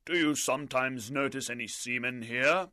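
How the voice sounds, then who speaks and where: A man putting on a gruff old sea captain. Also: mid-range voice, moderate pace, pushed and bright, no room sound, studio quality, voice pushed up, close to an everyday voice. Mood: commanding. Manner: barking orders. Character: gruff old male sea captain, hard-drinking, fearful.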